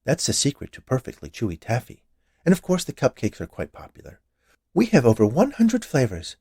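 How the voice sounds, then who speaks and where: A man's voice: mid-range voice, wildly swooping pitch, a little fast, slightly rough, no echo, clean studio sound, voice pushed up, full character voice. A man voicing a cheerful, chatty large woman who runs a candy shop in a storybook tale. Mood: cheerful, proud. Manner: bright, chatty, eager.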